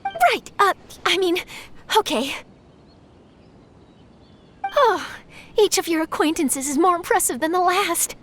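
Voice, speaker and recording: A woman's voice: very high voice, sing-song, takes it slowly, pauses often, slight background noise, full character voice. A woman voicing an eager, polite young female journalist in a video game, chatty and earnest. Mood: flustered, awed. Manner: bright-eyed, chatty, earnest. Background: game music.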